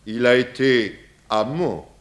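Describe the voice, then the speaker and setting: A man's voice: medium pitch, close to an everyday voice. An actor playing an eccentric, philosophical Russian émigré with a Russian accent, grandiloquent and cryptic, dropping his articles in a 1960s French radio crime drama. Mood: gossipy, mournful. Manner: slow, grandiloquent, broken grammar with dropped articles.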